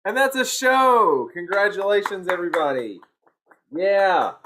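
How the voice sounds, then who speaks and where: A man's voice: high voice, animated, a little slow, noisy background. An American man announcing and congratulating the cast as presenter of a school play, speaking on a video call. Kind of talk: upbeat announcing to cast and audience.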